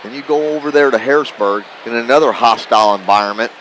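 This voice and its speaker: A man's voice: medium pitch, fast, a bit bright, a little hiss or hum, low-quality recording. A man giving live sports commentary as a radio analyst, plain-spoken and folksy in a conversational way. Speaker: radio broadcast partner, analyst. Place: corner perch in a school gymnasium. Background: gym ambience, bouncing basketballs.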